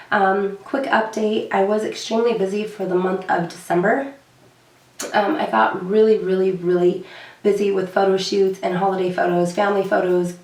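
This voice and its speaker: Hoarse young woman vlogging in an echoey room. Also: medium pitch, darkish tone, distant mic. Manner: chatty, many fillers and repeated words. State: hoarse, recovering from lost voice. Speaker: beauty vlogger. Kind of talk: casual chat to camera.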